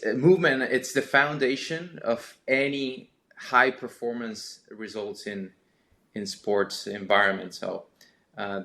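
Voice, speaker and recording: A young man with an Argentine Spanish accent, a Spanish native speaker, answering podcast questions in a halting way with many repeats and fillers. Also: lowish voice, fairly bright tone. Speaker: podcast guest, sports scientist. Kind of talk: casual interview answers.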